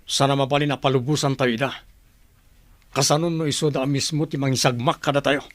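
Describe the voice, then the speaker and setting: A man playing a stern, calculating leader of an armed group in a radio drama, measured and authoritative. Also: medium pitch, fairly even intonation, no echo, lightly acted. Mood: cold, suspicious.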